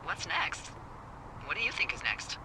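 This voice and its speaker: A woman's voice: lowish voice, wildly swooping pitch, measured pace, full character voice. A woman playing a wry, teasing fire lookout supervisor in a video game, talking playfully over a walkie-talkie. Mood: dry, teasing. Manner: playful, sardonic. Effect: walkie-talkie radio. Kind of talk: naturalistic video game voice acting. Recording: radio-filtered voice with clear background noise.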